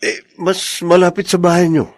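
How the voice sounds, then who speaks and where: Earnest young Filipino soldier played by an actor. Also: male voice, high voice, little pitch movement, moderate pace, natural voice. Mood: excited, hopeful. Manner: respectful, earnest. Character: young soldier, devoted father, respectful, hopeful husband. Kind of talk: Filipino radio drama acting.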